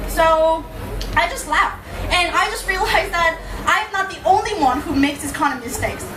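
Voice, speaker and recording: Female public speaker, native Korean with Korean accent, high pitch, casual storytelling delivery, roomy distant recording with clear noise. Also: moderate pace. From South Korea. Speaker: public speaker, language teacher. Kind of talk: informal live talk to an audience. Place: indoor venue in front of an audience. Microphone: camera at a distance. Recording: echoey room, distant camera mic, noisy.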